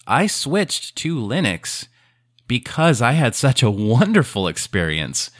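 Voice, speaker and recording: A man's voice: mid-range voice, wildly swooping pitch, slow, no room sound, studio quality. A man hosting a podcast in a casual, thoughtful and sincere way. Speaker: podcast host.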